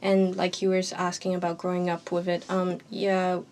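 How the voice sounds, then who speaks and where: Young woman with gravelly low voice. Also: completely flat delivery, moderate pace, a little mellow, slight background noise. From Hong Kong. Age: young adult. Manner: frank and emphatic, repeating key points. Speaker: student guest. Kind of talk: roundtable discussion.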